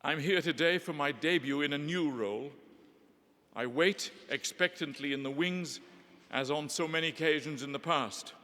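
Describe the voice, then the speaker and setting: Measured man speaking in a big hall. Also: a little high. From Northeast England. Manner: measured, eloquent, gently humorous. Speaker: ceremony speaker. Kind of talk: formal ceremonial address. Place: large hall. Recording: long hall reverb.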